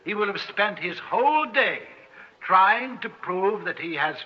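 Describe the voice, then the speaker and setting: An arrogant scientist speaks in a notably high voice, lecturing with pompous precision. It is old-time radio drama, with the dull, narrow sound of a vintage broadcast. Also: male voice, expressive, a little slow, slight background noise. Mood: smug, lecturing. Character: arrogant male scientist coolly presenting his findings. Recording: dull, band-limited old radio recording.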